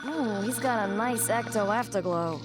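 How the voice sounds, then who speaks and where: A woman voicing a confident, sharp-witted young ghost hunter in a cartoon, with a cool, wry manner. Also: medium pitch, moderate pace, big-room sound, music in the background, close to an everyday voice.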